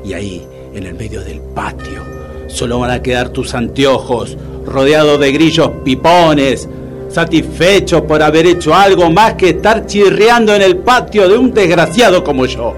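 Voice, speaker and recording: A man's voice: on the high side, soft background music, natural voice. An actor playing a gloomy, self-pitying Argentine man who mocks and menaces theatrically in a comic audio drama, with a Rioplatense accent. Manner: dramatic, teasing, drawn-out menace.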